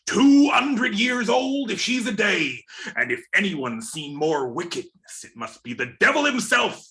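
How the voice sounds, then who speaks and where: Long John Silver, animated old pirate. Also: male voice, high voice, heavily acted. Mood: relishing, boastful. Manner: folksy sailor talk, drawn-out storytelling. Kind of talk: radio play reading. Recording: remote video-call reading.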